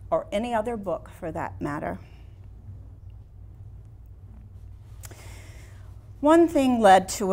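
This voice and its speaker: Older woman acting a wistful, slow-spoken lady painter. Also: lowish voice, a little mellow, natural voice. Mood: indignant, resigned. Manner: theatrical, deliberate, reflective. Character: wistful, proud woman painter recalling her early career. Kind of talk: staged reading of a one-woman play. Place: small room in a house before a seated audience.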